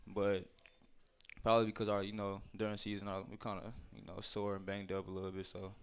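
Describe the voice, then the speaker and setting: A young man from Minnesota, a college basketball player, answering interview questions in a laid-back, soft-spoken way. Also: lowish voice, completely flat delivery, fairly quick, a little hiss or hum. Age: young adult.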